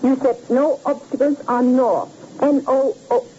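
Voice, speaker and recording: Villain's female accomplice, dry, deliberate delivery, mellow timbre, old radio serial, dull sound, faint noise. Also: high-pitched, steady tone. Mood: dry, skeptical. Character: skeptical, worried female accomplice of a crime boss. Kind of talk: old radio drama acting.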